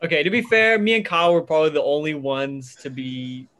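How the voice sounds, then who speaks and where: A man's voice: a little high, animated, a little fast, fairly bright tone. A young adult man reminiscing casually in a chat with friends.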